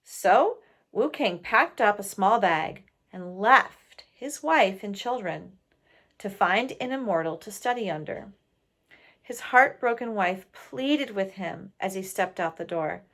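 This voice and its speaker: A woman reads a story aloud to listeners. Her delivery is slow and expressive, with pitch that moves around a great deal. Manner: pauses to question listeners. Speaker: storybook reader.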